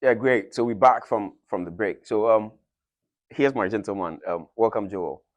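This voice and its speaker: A man's voice: fairly low pitch, normal speed. A young man hosting a casual interview, speaking hesitantly with frequent ums and restarts. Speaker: host.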